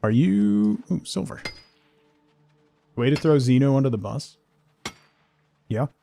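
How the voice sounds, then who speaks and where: Guy streaming a game, chill slow pace, pitch jumps around, bit gravelly, playful little asides. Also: sing-song, warm tone, a little hiss or hum. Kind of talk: casual live game commentary, chatting to viewers.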